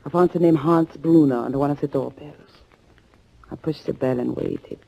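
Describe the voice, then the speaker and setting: An actress playing a determined German underground agent in a 1940s radio thriller, speaking in measured, formal phrases. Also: female voice, low-pitched, vocal fry, dark tone. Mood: grave, suspenseful. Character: German underground woman recounting a vengeance mission, grave and determined. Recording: muffled 1940s radio recording.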